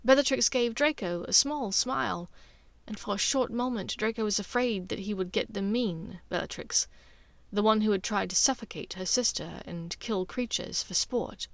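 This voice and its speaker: A woman's voice: medium pitch, moderate pace, gravelly, no room sound. A woman reading scripted fiction aloud as a story narrator. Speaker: podfic narrator.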